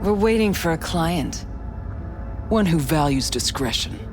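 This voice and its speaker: Bitter female merc for a game: biting, blunt, animated intonation, light music. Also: deep voice, measured pace. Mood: cold, weary. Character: hard-bitten, bitter female mercenary, a scornful ex-lover. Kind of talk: video game drama acting.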